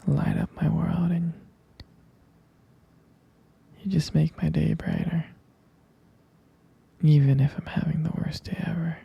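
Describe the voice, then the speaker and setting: A tender vampire lover in a romantic ASMR roleplay, murmuring in a husky, very dark whisper full of gravelly fry. The delivery is intimate and adoring, with soft hesitant pauses close to the mic. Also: mid-range voice. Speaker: ASMR performer playing a loving partner.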